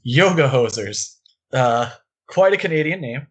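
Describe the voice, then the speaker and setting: A man's voice: mid-range voice, lively intonation, a little slow, slightly rough. Man co-hosting a casual film podcast. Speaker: podcast co-host.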